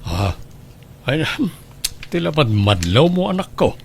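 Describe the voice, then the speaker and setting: A man plays a smitten, evasive middle-aged dad in a radio drama. His medium-pitched voice swings widely as he coaxes, over faint noise. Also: sing-song, takes it slowly, creaky, full character voice. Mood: sheepish, evasive. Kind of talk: radio drama acting in Ilocano.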